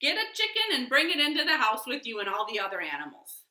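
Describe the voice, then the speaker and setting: A woman's voice: high-pitched, huge pitch swings, fast, bright, forceful tone, heavily acted. A woman voicing a confident village wise man in a children's story, giving advice emphatically. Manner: emphatic, repeats yes and yep. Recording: echoey living room.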